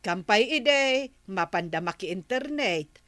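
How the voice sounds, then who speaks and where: A woman's voice: medium pitch, expressive, slow pace, a bit bright, dry recording. A woman playing a gossipy, exclamatory older village woman in a comedy drama. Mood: gossipy, disapproving.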